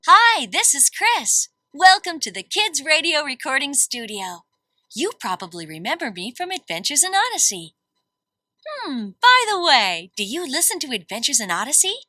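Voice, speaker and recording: A woman studio host speaks in a high, lively voice that swings widely. She sounds like a chirpy presenter guiding children through a scripted session, with a close, dry sound. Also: normal speed, no room sound. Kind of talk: scripted, upbeat instructions to children.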